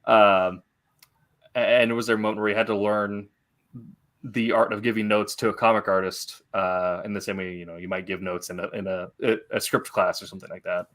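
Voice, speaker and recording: A man hosting a casual interview, working his way haltingly through long, roundabout questions and comments. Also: fairly low pitch, steady tone, fairly bright tone. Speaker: interview host.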